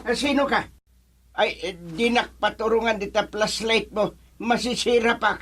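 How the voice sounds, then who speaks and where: An actor playing a forgetful, grumbling elderly father in an Ilocano comedy radio drama, with a Filipino accent and drawn-out, sighing interjections. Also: high voice, a bit bright, slight background noise. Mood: muddled.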